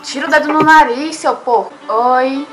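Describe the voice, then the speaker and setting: A woman's voice: high voice, moderate pace, soft background music. A young woman in her twenties with a Brazilian Portuguese accent, chatting with strangers on a webcam with teasing, sarcastic banter from her home kitchen. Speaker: YouTuber. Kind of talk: casual webcam chat with strangers. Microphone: laptop webcam mic.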